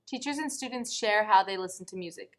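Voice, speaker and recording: A teenage girl reporting a student news story in a scripted voice-over, measured and even. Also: mid-range voice, noisy background. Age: teens.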